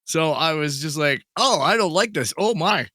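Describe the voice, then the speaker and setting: Male co-host, slightly rough voice, rambling and easygoing, dry sound. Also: on the high side, expressive, normal speed, bright, forceful tone, dry recording. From Canada. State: voice a little rough. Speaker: podcast co-host. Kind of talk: casual podcast chat.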